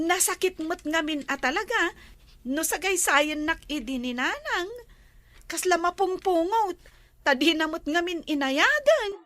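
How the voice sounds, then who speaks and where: A young Ilocano woman with a very high voice that swoops and lifts all over. She talks slowly, in a bright, sharp tone, and keeps it playful and giggly. The sound is close and dry. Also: lively intonation, no echo. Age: young adult. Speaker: young woman back from the city. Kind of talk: comedy drama acting.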